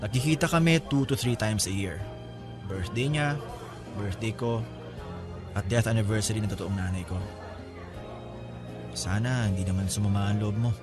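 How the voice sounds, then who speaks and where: A man's voice: lowish voice, normal speed, long pauses, natural voice. A man acting a laid-back, candid older half-brother who likes women, in a casual, joking Filipino radio drama style. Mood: light, admiring. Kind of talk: Tagalog radio drama dialogue, conversational. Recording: music bed behind the voice.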